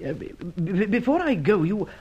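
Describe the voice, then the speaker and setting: A man's voice: high voice, very animated, moderate pace, darkish tone, slightly rough, muffled recording, full character voice. A man playing a foppish, dithering upper-class silly ass with fussy, affected slang in a radio crime serial. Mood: fussy, eager.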